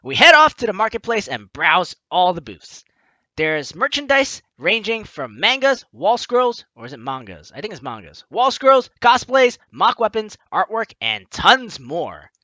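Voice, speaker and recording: Bright, animated, excitable gamer. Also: medium pitch, a little slow, no echo, muffled recording. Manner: excitable, playful, stammering self-interruptions. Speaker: gaming video host. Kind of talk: let's play commentary, reading game text aloud.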